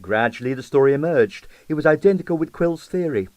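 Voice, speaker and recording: A man's voice: fairly high pitch, expressive, a little fast, a little mellow, dry recording. A man reading a comic mystery story as a scripted, dramatic audiobook narration.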